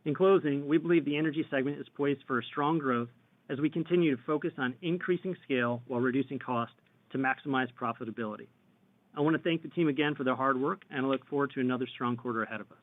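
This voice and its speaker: Man, corporate executive, scripted earnings call update, mid-pitched, tinny phone-band audio. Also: fast talker, dry recording. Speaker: company executive giving business update. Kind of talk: prepared earnings call remarks. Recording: thin phone-line conference audio.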